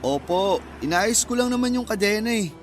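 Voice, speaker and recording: High-voiced, good-natured young man. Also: expressive, moderate pace, soft background music. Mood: modest, amused. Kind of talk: Filipino radio drama acting.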